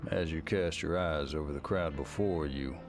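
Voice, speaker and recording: Low-voiced man narrating a story. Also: normal speed, music in the background. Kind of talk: second-person scripted story narration.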